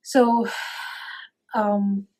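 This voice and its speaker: A woman's voice: mid-range voice, flat delivery, extremely slow, darkish tone, rough recording. A woman in her forties with a Russian accent, hesitantly recording a retirement message for a coworker into a webcam. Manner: hesitant, full of ums. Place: indoor room.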